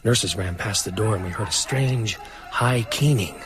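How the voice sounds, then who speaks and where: Actor as a deep-voiced, sombre male narrator. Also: music in the background, close to an everyday voice. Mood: sombre, haunted. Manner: measured, grave storytelling. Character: sombre male survivor recounting a horror story. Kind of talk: scripted first-person narration.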